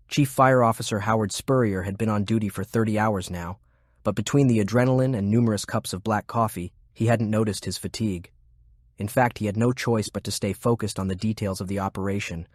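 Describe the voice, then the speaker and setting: Guy reading an audiobook, lowish voice, serious measured narration, no echo at all. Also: a little mellow, studio quality. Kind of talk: scripted narration of fiction.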